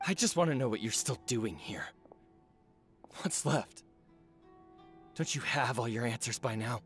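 An actor playing an earnest young male smith in a game cutscene, talking intensely and defiantly with a gravelly edge, over music. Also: medium pitch, unhurried, natural voice. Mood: angry. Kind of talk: video game voice acting. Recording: game audio with music underneath.

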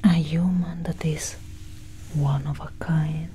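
A young woman whispering breathily and deliberately in a soft ASMR roleplay as a calm alien scientist, close to the mic. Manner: slow, deliberate, hushed. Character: calm, clinical female alien scientist examining a human. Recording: steady low engine-like hum.

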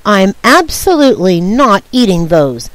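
A woman's voice: medium pitch, sing-song, unhurried, no room sound, low-quality recording. A woman voicing a stubborn, picky little boy with an emphatic manner, in a children's storybook. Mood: defiant. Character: stubborn, picky little brother refusing food.

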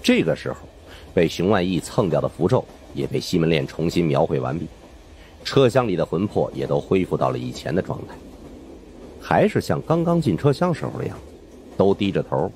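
A man's voice: deep voice, sing-song, a little fast, a little mellow, a little hiss or hum. A man narrating a suspense audiobook in the first person, reading from a script.

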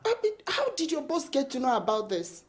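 A woman's voice: sits high, big pitch swings, fairly quick. An actress playing a worried, protective woman, pleading urgently in naturalistic film drama. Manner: pleading, urgent, repeats words. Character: worried, protective woman calming a volatile man.

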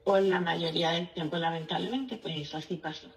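A woman's voice: lowish voice, a little fast. A woman with a Puerto Rican accent calling a show, speaking hesitantly and earnestly as she confides in the host. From Puerto Rico. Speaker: caller. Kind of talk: personal confession on a call-in show. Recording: distant phone caller, faint noise.

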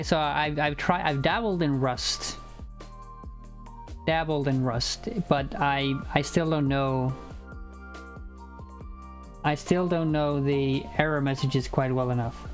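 A young man whose first language is Icelandic, live-streaming programming and talking it through with many fillers and restarts, on a headset mic at home. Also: a little high. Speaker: programming livestreamer. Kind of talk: casual live coding chat. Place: home room, indoors.

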